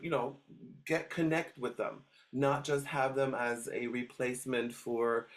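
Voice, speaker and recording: A man's voice: medium pitch, flat delivery, measured pace, background noise. A middle-aged man talks through his story in a casual interview. He hesitates often and restarts his sentences, filling gaps with "um" and "uh.". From Switzerland. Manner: hesitant, many restarts and fillers. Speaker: dog trainer guest.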